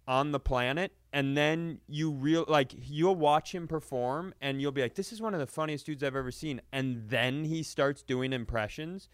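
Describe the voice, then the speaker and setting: Male podcast co-host, slightly higher than average, clean smooth tone, mock-earnest comic riffer with strong opinions. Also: normal speed, hardly any pauses, dry recording, clean studio sound. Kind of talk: casual comic riffing.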